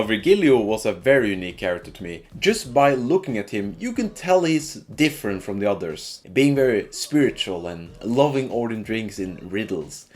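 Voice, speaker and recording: A man's voice: on the low side, a little mellow. A man giving casual, informal commentary as a video game reviewer, ranking things with emphatic opinions. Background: background music.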